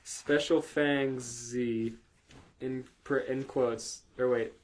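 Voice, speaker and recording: A man's voice: mid-range voice, fairly even intonation, very slow. A man hosting a comedy podcast, reading aloud in a casual, sweary, amused way and breaking off for asides. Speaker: podcast host reading fan fiction aloud.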